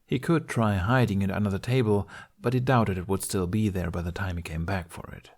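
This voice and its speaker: A man's voice: low voice, fairly quick. A man narrating an adventure story in a scripted, audiobook style. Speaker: podfic narrator.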